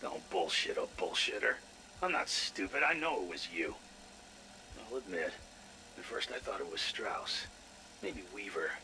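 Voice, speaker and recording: An actor playing an irritable, sarcastic, foul-mouthed scientist in a video game audio log. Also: male voice, lowish voice, very animated, a little slow, long pauses, background noise. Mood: sly, conspiratorial. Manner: sarcastic, exasperated, profane.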